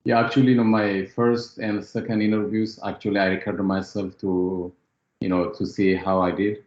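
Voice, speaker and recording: A very deep-voiced man from Ethiopia gives a testimonial interview, pausing often and leaning on fillers as he talks it through. His voice sounds roomy and a little dull, as if caught from across a room. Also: little pitch movement, a little mellow. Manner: hesitant, many fillers and "you know". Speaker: former student, interviewee.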